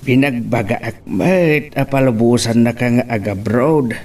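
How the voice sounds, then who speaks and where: A man playing a calm older father who gives advice in an audio drama, speaking in measured, hesitant phrases. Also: mid-range voice, close to an everyday voice. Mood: gentle, resigned. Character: calm, advising elderly father of the family. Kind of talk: Ilocano radio-style audio drama. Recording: clear background ambient noise.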